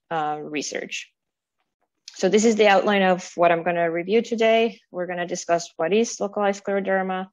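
A woman's voice: lowish voice, muffled recording. A woman, a pediatric rheumatologist whose first language is Spanish, giving a conference talk over a video call with many fillers and restarts. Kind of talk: conference presentation.

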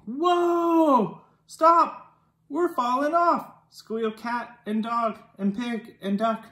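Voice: medium pitch, very slow, fairly bright tone, slight background noise. A storytime host reading a children's picture book aloud, acting out the dialogue expressively. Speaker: storytime reader. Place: living room. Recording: roomy indoor echo.

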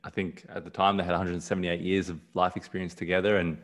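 A man speaks from a small room at home over a video call webcam. His voice sits low. He rambles gently, repeating words and restarting sentences as he talks. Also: level tone, quick pace. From South Africa, raised in Australia. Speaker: podcast guest. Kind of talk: casual conversation on a video call.

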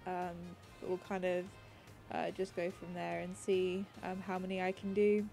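A woman talks over her gameplay in a relaxed, meandering way, full of ums and restarts. Her voice is mellow and a little congested and raspy with a cold. Soft background music plays faintly under her voice. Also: lowish voice, normal speed. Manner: rambling, many ums and restarts. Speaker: gaming channel host. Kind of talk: casual gameplay commentary.

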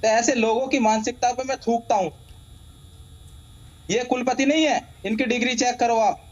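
A man's voice: very high-pitched, fairly even intonation, moderate pace, highs cut off. A middle-aged man arguing as a guest in a heated TV debate over a video call, combative, repeating himself and cutting in. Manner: combative, repetitive, interrupting. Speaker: debate guest, Islamic scholar. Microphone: remote video call.

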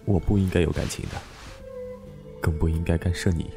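A man's voice: deep voice, steady tone, fairly quick, vocal fry, music in the background. An actor playing a solemn, restrained supernatural man with hidden affection in a Chinese costume audio drama. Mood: resigned. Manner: restrained, grave.

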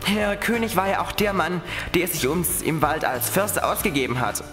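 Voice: medium pitch, expressive, normal speed, natural voice. The voice echoes in a room, with faint music behind. It is a confident, reassuring young detective , played by an actor leading friends in a children's radio play. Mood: thoughtful.

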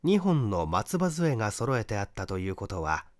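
A man reading a mystery story as a narrator, in a measured, dramatic literary storytelling manner. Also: fairly low pitch, very animated, darkish tone, no room sound, studio quality. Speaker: audiobook narrator, stage actor.

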